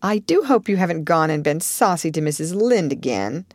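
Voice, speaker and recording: A woman voicing Marilla, a stern, practical older woman who scolds briskly. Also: lowish voice, lively intonation, dry recording, clean studio sound. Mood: scolding.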